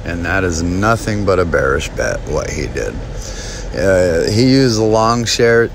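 A man talking casually to his viewers about a stock chart, halting with many ums and restarts. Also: low voice, animated, creaky, noisy background. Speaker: stock market video host. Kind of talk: casual talk over a chart screen share.

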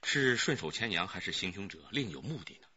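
A man's voice: sits low, fairly quick, breathy edge, no echo, heavily acted. An actor playing a male police detective who briefs colleagues expressively in a radio crime drama. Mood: intrigued. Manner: expressive storytelling. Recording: dull recording with faint noise.

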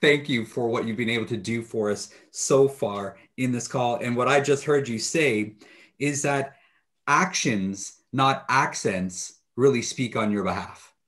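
A man's voice: medium pitch, a little slow, fairly bright tone. A man in his thirties hosting an interview on a video call with a headset, thanking his guest and relaying questions with hesitant fillers. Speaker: interview host. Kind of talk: interview moderation. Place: home office.

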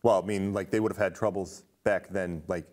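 Man, thirties, low voice, talkative film show host, casual and enthusiastic. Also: fairly even intonation, a little fast, darkish tone. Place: indoor studio stage with curtain.

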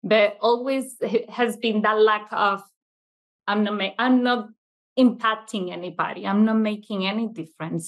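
A woman's voice: mid-range voice, a bit bright, low-quality recording. A middle-aged woman from Venezuela, whose first language was Italian, speaking with a Venezuelan accent as an interview guest. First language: Italian first language, raised in Venezuela. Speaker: interview guest, childcare school owner. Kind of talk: conversational interview answers. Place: classroom, video call.

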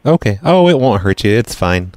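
A man making a casual prank phone call in a deadpan, hesitant manner, rambling and repeating himself as he goes. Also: lowish voice, moderate pace, dry recording. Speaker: prank caller, show host.

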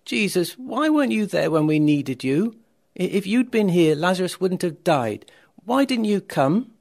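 Man voicing a grieving, pleading woman, pitched up. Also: lively intonation, vocal fry, breathy edge, full character voice. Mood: upset, reproachful. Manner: halting, emotional, pleading. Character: grieving, reproachful young woman pleading with Jesus.

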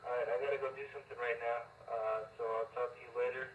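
A man talks casually through a two-way radio with little change in pitch. The speaker sounds distant and very roomy, in a casual operator-to-operator exchange. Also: level tone, fast, a little hiss or hum.

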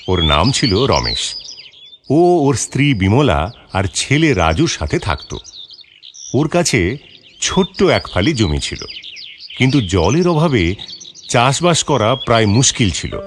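A man narrating a scripted moral story for children. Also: medium pitch. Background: background music.

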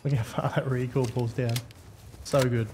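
A man's voice: mid-range voice, little pitch movement, moderate pace, very noisy. A man giving casual, slangy, wry gameplay commentary. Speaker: host, game server admin.

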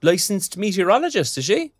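A young man from an audio drama, with a Cork accent and a lively, swinging delivery. He is colloquial and sardonic, with a short fuse. The sound is dry. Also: high voice, fairly quick, no room sound. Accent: Irish, County Cork. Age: young adult. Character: young Irish graduate, restless, sarcastic, hot-tempered protector. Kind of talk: naturalistic audio drama acting.

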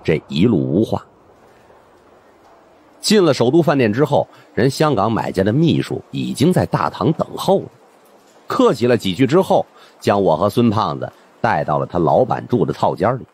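A man narrating an audiobook in a colloquial, wry and expressive first-person storytelling style. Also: on the low side, normal speed, slightly rough.

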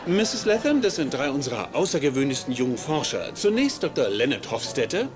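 An actor playing a slick, bossy, effusive university president in a sitcom dub. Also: male voice, medium pitch, very animated, a little fast, music in the background, lightly acted. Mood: smooth.

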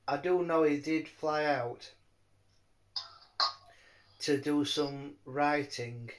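A man chatting casually in a rambling, halting way, often repeating his words. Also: mid-range voice, poor audio. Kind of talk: rambling casual phone chat.

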